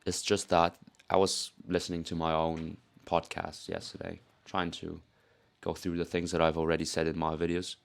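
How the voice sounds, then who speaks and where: Young korean guy doing a fake british-ish accent, low flat voice, swears a bit, jokes at himself. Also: moderate pace, vocal fry. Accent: put-on British-leaning English accent. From South Korea. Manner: candid and self-mocking, swears casually. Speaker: powerlifting vlogger. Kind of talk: casual voice-over narration.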